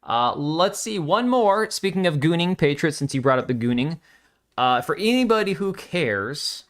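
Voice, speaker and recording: A middle-aged man hosting a live stream, chatting casually and reacting to chat with quick jokey asides. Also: fairly high pitch, animated, fairly bright tone. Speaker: livestream host.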